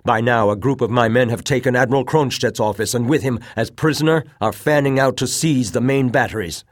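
A man's voice: medium pitch, a little fast, no room sound, clean studio sound, pitched above the performer's own voice, close to an everyday voice. A man voicing a resolute rebel colonel in an audiobook, tense, defiant and commanding, giving urgent orders. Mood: shaken but resolute.

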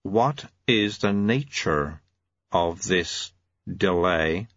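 A man voicing a cold, imperious, inhuman male official who speaks in deliberate, formal, pedantic phrases, in an audiobook. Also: sits low, a bit bright, no room sound, muffled recording, full character voice. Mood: demanding.

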